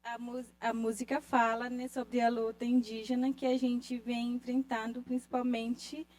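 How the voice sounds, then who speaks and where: High-voiced, slow, halting woman, non-native Portuguese. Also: little pitch movement, a little mellow, rough recording. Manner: halting, searching for words. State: nervous. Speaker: singer presenting a song of their people. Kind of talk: informal spoken introduction to a live audience.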